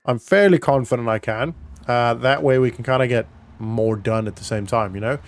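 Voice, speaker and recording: A man's voice: medium pitch, moderate pace. A man giving casual, informal roleplay commentary as a gaming vlogger, thinking aloud as he goes. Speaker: gaming vlog host.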